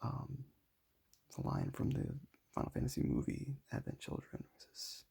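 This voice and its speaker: A young man with a raspy voice, talking casually and modestly while showing his sketches. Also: moderate pace. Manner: soft-spoken, self-deprecating, rambling.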